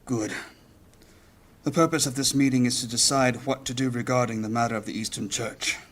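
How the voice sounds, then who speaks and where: A man playing a weary, grave leader of a mages' guild in a fantasy audio drama, speaking in measured, formal lines. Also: medium pitch, steady tone, lightly acted. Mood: measured, cautioning. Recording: music under the voice.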